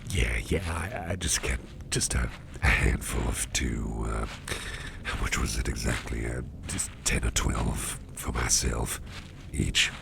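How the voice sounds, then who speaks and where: Eager fanged boss voiced by a guy, soft rambling whisper, super low voice, audible noise behind. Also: fairly even intonation, a little slow, exaggerated voice. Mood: chatty. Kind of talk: ASMR roleplay. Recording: whisper over clear background noise.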